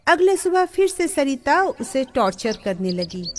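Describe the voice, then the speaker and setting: High-pitched, animated woman storyteller. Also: a little slow. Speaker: narrator. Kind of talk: scripted moral-story narration. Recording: music under the voice.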